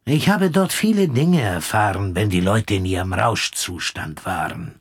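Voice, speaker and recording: An actor playing a guarded, laconic informer under questioning in a radio play, terse and reserved. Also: male voice, on the low side, animated, a little slow, creaky, husky, heavily acted.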